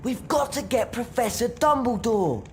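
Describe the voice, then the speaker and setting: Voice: medium pitch, expressive, moderate pace, close to an everyday voice. An actor voicing an anxious, loyal schoolboy wizard in a video game. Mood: urgent. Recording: echoey, faint music behind.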